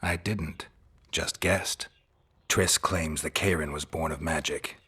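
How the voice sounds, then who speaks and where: A man playing a stoic mutant monster hunter in a video game, terse and deadpan with a dry wit. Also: takes it slowly, heavily acted. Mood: deadpan, probing. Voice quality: husky, gravelly low voice.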